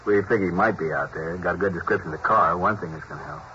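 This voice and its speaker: A brisk, businesslike detective. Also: male voice, sits low, pushed and bright. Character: male police detective sergeant briefing colleagues. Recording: dull, hissy old radio broadcast.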